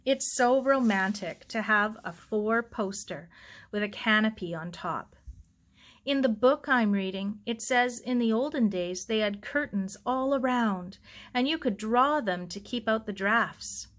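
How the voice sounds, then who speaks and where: Woman reading as an earnest young girl, high voice. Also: unhurried, fairly bright tone. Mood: dreamy. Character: young girl in braids, earnest, romantic, slightly exasperated. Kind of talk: children's novel read aloud.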